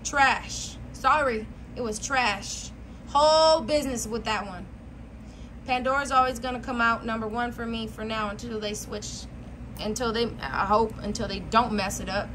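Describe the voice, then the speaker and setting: Blunt, higher-pitched American woman vlogger, noisy recording. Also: moderate pace, fairly bright tone. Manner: blunt, slangy, emphatic with repetition. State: voice worn out, losing it. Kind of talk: casual chat to viewers, product review.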